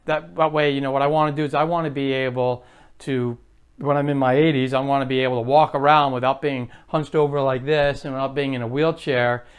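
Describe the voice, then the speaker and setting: A man's voice: mid-range voice, steady tone, fairly quick, clean tone. A man in his late fifties hosting his own health vlog, chatty and rambling, with lots of fillers and restarts. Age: 50s. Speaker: health vlogger. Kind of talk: casual to-camera vlog.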